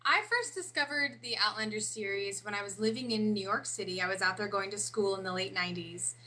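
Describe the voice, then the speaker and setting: A woman in her forties, a guest on an interview, telling a lively story and laughing at herself. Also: medium pitch, fairly quick, low-quality recording. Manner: animated storyteller, laughs through her own anecdotes. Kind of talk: casual interview chat.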